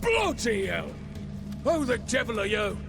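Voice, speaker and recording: Slow, embittered fallen knight. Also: male voice, very high voice, huge pitch swings, gravelly, music in the background, exaggerated voice. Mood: outraged. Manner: solemn, aggrieved, oratorical. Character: embittered, proud rogue knight of a fallen order. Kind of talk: video game voice acting.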